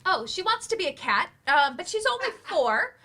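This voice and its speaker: A woman's voice: very high-pitched, very animated, normal speed, pushed and bright, heavily acted. An actress in a live play reading, playing an earnest, eager mother in an adult remedial class. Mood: candid, upbeat. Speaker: actor in a staged play reading.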